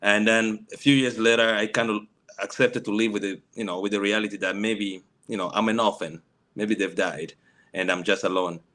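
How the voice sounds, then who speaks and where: A middle-aged man from the Congo, a native Swahili speaker, telling his refugee story on a video call panel with halting speech and frequent restarts. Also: lowish voice, steady tone, bright, forceful tone. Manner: halting, many restarts and fillers.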